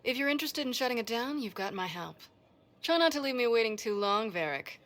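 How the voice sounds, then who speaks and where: An actress playing a brisk, self-assured expert in a fantasy video game, with a dry, matter-of-fact delivery. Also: female voice, fairly high pitch, expressive, fairly bright tone. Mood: businesslike.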